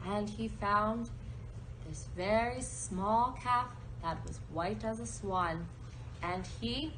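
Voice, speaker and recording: A woman's voice: medium pitch, slow pace, a bit bright. A woman telling a children's story live at a puppet show, with simple, descriptive phrasing and repetition. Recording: noisy live recording.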